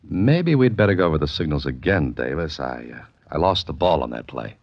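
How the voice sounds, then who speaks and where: An actor playing a laconic, wisecracking writer-adventurer with an American accent, narrating a 1940s radio mystery. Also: male voice, a bass voice, big pitch swings, brisk, heavily acted. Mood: dry, bantering. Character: dry, wry writer and amateur adventurer, male narrator. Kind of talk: 1940s radio mystery acting, hard-boiled first-person narration. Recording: muffled 1940s radio recording with music.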